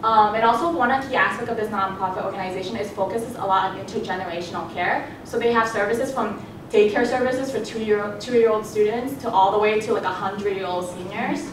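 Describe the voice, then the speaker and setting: A young woman whose first language is Cantonese, giving a student presentation in a conversational way with fillers and restarts. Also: brisk. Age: young adult. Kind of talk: presentation talk. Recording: echoey hall, voice from a distance, background rumble.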